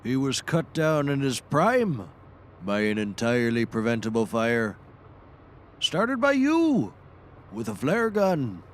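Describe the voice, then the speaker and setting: An actor playing a folksy, dryly sarcastic backwoods man in a scripted comedy, with deadpan delivery. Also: medium pitch, takes it slowly, a little hiss or hum. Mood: exasperated. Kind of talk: scripted audio comedy acting.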